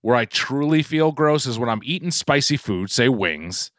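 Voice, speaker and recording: A man's voice: medium pitch, animated, moderate pace, dry recording, clean studio sound. A man co-hosting a comedy food podcast, rambling with many restarts and lots of swearing. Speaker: podcast co-host. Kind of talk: casual comedic chat.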